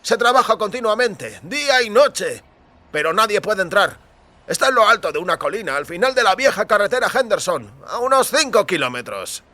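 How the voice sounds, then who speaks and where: An actor playing a chatty small-town taxi driver in an audio drama, wary but helpful, with an emphatic, confiding delivery. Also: male voice, sits high, sing-song, moderate pace, sharp tone, exaggerated voice. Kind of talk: audio fiction dialogue in Spanish.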